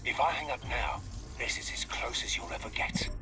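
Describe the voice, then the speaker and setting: An actor playing a ruthless, scheming research boss, clipped and theatrical in a dramatic video game cutscene. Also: male voice, mid-range voice, expressive, normal speed, over music, close to an everyday voice. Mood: cold menace.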